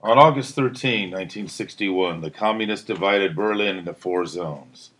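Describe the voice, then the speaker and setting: A man's voice: sits low, normal speed, fairly bright tone, poor audio. A man reading a narrative aloud as a teacher would, in a dramatic, emphatic storytelling style. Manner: theatrical, emphatic delivery.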